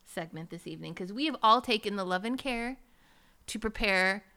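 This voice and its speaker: A woman's voice: medium pitch, animated, moderate pace, bright, forceful tone. A woman hosting a podcast in playful group banter, with a theatrical, mock-grand manner. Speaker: podcast host.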